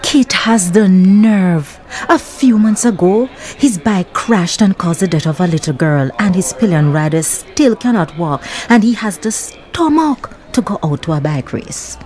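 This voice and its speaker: A woman's voice: mid-range voice, animated, measured pace, few pauses, darkish tone, natural voice. A woman with a Jamaican accent playing a sociable but judgmental office worker in a radio drama. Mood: disapproving.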